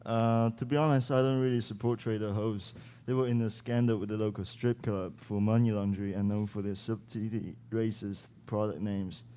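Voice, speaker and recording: A man's voice: lowish voice, fairly even intonation, moderate pace, hardly any pauses, dark tone, close to an everyday voice. A young man acting a blunt, practical student broadcaster in an amateur radio play. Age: young adult. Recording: clear background noise.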